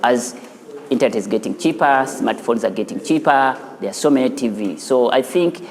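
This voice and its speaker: A man's voice: mid-range voice, normal speed, long reverb tail. A man with a Ugandan accent, speaking as the founder of an animation studio in a filmed profile interview. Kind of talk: interview and studio tour for a news feature. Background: music.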